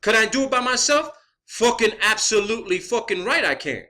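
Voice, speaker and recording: A man's voice: sits high, big pitch swings, fairly quick, pushed and bright. A man speaking as an online video creator in an impassioned, profane rant, combative and emphatic, repeating phrases to drive points home.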